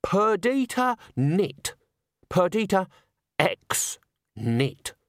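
A man's voice: sits high, very animated, extremely slow, no echo, studio quality, voice pushed up, heavily acted. A man voicing a big, shy but stubborn young woman singer who speaks hesitantly. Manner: hesitant, then snappish.

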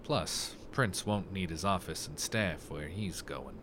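A man's voice: deep voice, slow, noisy background. A man playing a laconic, wry private detective and war veteran in a 1930s noir radio drama.

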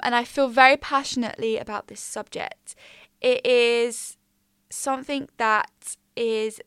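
A woman of twenty, hosting a casual solo podcast in a small quiet room, candid and upbeat with slangy asides. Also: fairly high pitch, vocal fry, clean tone, no echo, clean studio sound. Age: 20s. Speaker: podcast host. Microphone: studio mic.

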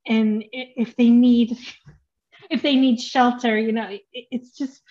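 A woman's voice: a little high, flat delivery, slow, dark tone, highs cut off. An American woman hosting a podcast, chatty and full of restarts, laughing between phrases. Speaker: podcast host. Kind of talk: podcast interview.

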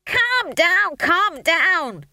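An excitable dwarf stage manager, played by a woman reader in an audiobook. He barks orders like a drill sergeant, strained and exasperated. The pitch is driven very high, with a sharp, bright edge. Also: extremely slow, no echo, clean studio sound, exaggerated voice. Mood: frantic. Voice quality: strained yelling. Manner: barking, drill-sergeant urgency. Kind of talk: audiobook character voice.

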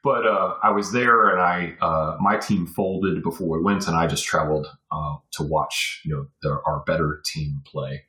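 A man's voice: sits low, fairly even intonation, normal speed, hardly any pauses, pushed and bright. An American man in his forties from Virginia, reminiscing casually as a podcast guest in a rambling way. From Virginia, USA. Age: 40s. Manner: rambling, punctuated with "you know". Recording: roomy, echoey sound.

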